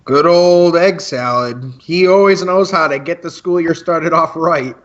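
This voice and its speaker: A high-pitched, playful high school boy played by a man chats as co-host of a campus radio show. He talks in wordy announcer patter, in an amateur radio drama with a slightly muffled lo-fi recording. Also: measured pace, lightly acted. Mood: playful, wry. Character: male eleventh-grade student co-hosting a campus radio show, playful, wordy.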